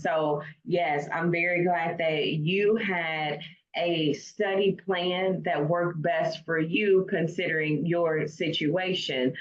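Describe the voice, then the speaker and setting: A woman coach hosting an interview, talking in an encouraging, affirming way. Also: sits low. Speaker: coach and host. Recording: echoey, dull lo-fi call audio.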